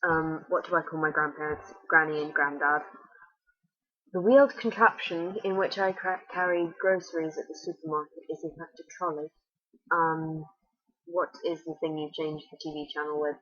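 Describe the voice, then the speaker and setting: Low-voiced Fermanagh girl on a dull webcam. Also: fairly even intonation, normal speed, fairly bright tone. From Northern Ireland. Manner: chatty, blunt and wry. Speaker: vlogger. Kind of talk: casual accent-tag video. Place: small bedroom indoors. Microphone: webcam at desk.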